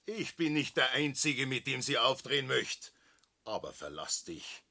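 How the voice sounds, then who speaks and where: An aggrieved Austrian village shopkeeper in a radio drama. The voice is sharp and raspy, swinging widely in pitch as he rants with blustering emphasis. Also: big pitch swings, unhurried, full character voice. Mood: threatening. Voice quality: gravelly, raspy. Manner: ranting, emphatic. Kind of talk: German radio play acting, rural dialect.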